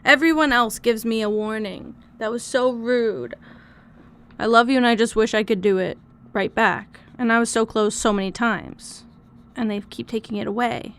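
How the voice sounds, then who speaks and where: A young woman with a hoarse throat, chatting casually and swearing while she streams and sketches. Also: a little high, fairly even intonation, moderate pace, fairly bright tone. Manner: swearing, talkative, complaining. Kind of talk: casual livestream chat while drawing.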